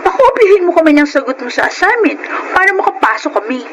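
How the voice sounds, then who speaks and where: A woman's voice: extremely high pitch, big pitch swings, fast, background noise. A woman playing a freeloading young student in a Filipino radio drama, with a whiny, wheedling manner.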